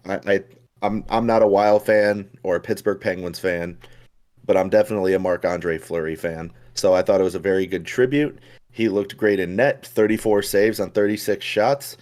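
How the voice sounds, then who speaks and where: A young man co-hosting a podcast, his voice hoarse, talking in a relaxed, chatty way with lots of fillers and restarts. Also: low voice. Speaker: podcast co-host. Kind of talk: casual chat.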